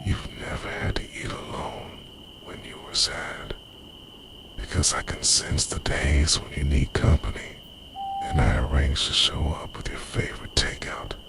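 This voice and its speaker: Man acting a very deep, whispery obsessive boyfriend. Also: exaggerated voice. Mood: tender, confiding. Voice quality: breathy, often whispered, gravelly. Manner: slow, earnest, hushed delivery. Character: devoted, obsessive time-travelling boyfriend, intense and tender. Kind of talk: intimate ASMR roleplay monologue. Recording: noisy night ambience with crickets, owls.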